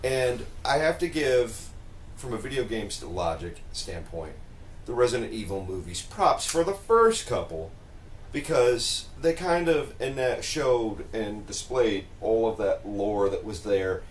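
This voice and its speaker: Animated man in a roomy echo. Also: on the low side, measured pace. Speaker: podcast co-host. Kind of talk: casual panel discussion. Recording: roomy echo, faint background noise.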